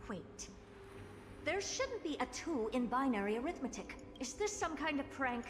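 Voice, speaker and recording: Imperious female genius, video game drama, high voice, widely swooping delivery, dry exasperation. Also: big pitch swings, normal speed. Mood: brooding. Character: haughty, brilliant female scientist, exasperated and self-assured. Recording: long reverb, noisy stream game audio.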